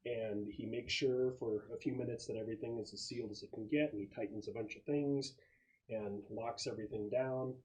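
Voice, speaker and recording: A man working as game master, describing scenes and answering questions in a halting, explanatory way during a tabletop game. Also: medium pitch, steady tone, a little mellow, low-quality recording.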